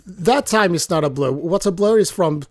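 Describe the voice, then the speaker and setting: Brief: male podcast guest, thirties, easygoing and chuckly, dry sound, soft music underneath. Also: high voice, moderate pace, dry recording. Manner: relaxed, chuckling, nostalgic. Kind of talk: casual podcast interview.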